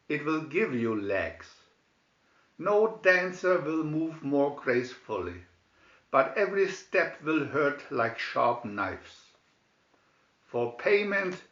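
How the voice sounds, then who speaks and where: A man acts out a sinister storybook sea witch, scornful as she drives her bargain. The delivery is slow and raised, in a roomy, muffled recording. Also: on the high side, a bit bright, voice pushed up, exaggerated voice.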